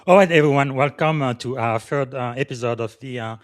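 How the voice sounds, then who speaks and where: Congolese-born man hosting with many pauses. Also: medium pitch, dry recording, studio quality. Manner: frequent filler pauses, enthusiastic introductions. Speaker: podcast host. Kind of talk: hosting an interview.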